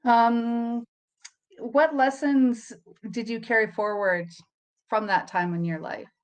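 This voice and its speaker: A woman's voice: fairly high pitch, steady tone, takes it slowly. A woman hosting a casual interview, asking questions in a friendly, chatty way with easy laughs. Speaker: host.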